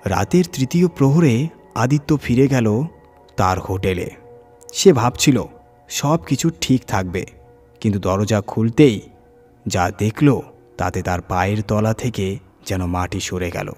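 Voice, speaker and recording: A man with a fairly low voice narrates a horror story. He reads dramatically, using suspenseful pauses and strong emphasis, with music clearly running behind him. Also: darkish tone. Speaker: horror story reader and channel host.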